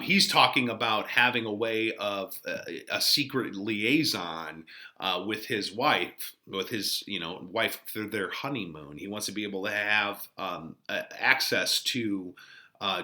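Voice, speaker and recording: A man's voice: mid-range voice, sharp tone. A man teaching literature, giving informal explanatory commentary and putting things in everyday words.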